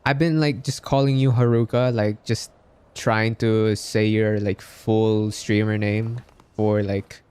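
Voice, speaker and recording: A man's voice: on the low side, little pitch movement, slow pace, a little mellow, clear, resonant tone. A young man chatting casually on a game livestream in a friendly, laid-back, talkative way. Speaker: game streamer. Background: game music.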